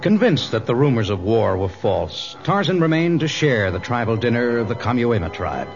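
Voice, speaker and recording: An actor as the narrator of a radio adventure serial, telling the story in a formal, dramatic way. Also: male voice, medium pitch, sing-song, a little fast. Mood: dramatic, measured. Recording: dull old radio recording, music behind.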